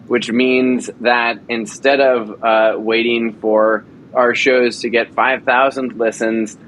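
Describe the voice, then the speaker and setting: A man in his thirties with a mid-range voice, speaking as an interview guest. He tells his stories eagerly and fills pauses often. A faint low rumble sits under the recording. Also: flat delivery, a bit bright, poor audio. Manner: enthusiastic storyteller with frequent fillers. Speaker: actor and audio drama producer. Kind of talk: casual interview chat.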